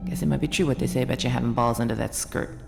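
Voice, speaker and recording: Gravelly, defiant operative. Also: male voice, mid-range voice, fairly even intonation, racing. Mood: defiant, grudging. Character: gruff, defiant male operative, begrudging subordinate. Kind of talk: video game character acting. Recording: long reverb with music behind.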